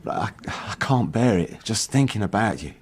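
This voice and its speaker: A man playing a gentle, hesitant, lovesick lover in a radio drama. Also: on the low side, lively intonation, normal speed, close to an everyday voice. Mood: anguished longing. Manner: hesitant, ardent. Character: smitten, tormented lover, gentle and yearning.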